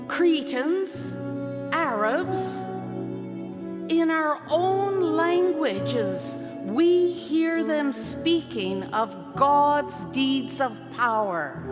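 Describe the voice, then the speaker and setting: A woman giving a dramatic scripture reading at a church service, with theatrical, deliberate phrasing and long pauses. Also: sits high. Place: large church. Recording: long church reverb, music playing behind. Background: music.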